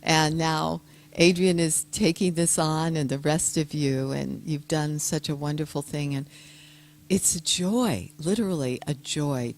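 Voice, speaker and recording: An older woman, a guest speaker giving a soft-spoken, gentle and reflective personal talk to an audience. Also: deep voice, slight background noise.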